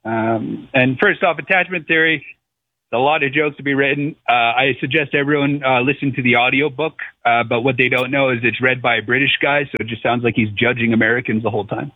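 A man in his thirties calling in to a radio show over the phone, chatting in a casual, rambling, halting and jokey way. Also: mid-range voice, fairly even intonation, no room sound. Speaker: caller, comedian. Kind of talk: casual chat. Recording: tinny, narrow phone line on radio.

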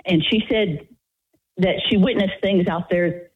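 A woman in her late fifties calls in to a radio show, her voice thin and tinny down a phone line. She speaks in a low, husky tone and stumbles nervously through her story with plenty of ums and restarts. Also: fairly even intonation, unhurried. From Texas, United States. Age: 50s. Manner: nervous, hesitant, many fillers. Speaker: caller. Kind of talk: radio call-in chat.

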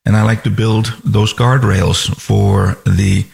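A man from Finland, a financial advisor speaking as a guest on a radio talk program, measured and full of restarts and fillers. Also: fairly low pitch, steady tone, vocal fry, darkish tone.

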